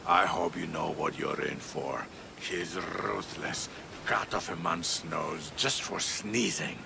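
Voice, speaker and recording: A weary old townsman in a video game, cautious and afraid. His low voice is heavily creaky and he speaks slowly, over a clear rumbling noise. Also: heavily acted. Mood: wary warning. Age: older. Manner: hesitant, cautious, slow. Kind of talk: video game cutscene acting. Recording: rumbling, noisy background.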